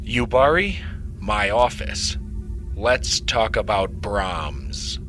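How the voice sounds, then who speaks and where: A man playing a brisk, questioning starship captain in a fan audio drama, with music behind him. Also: fairly low pitch, big pitch swings, a bit bright, full character voice.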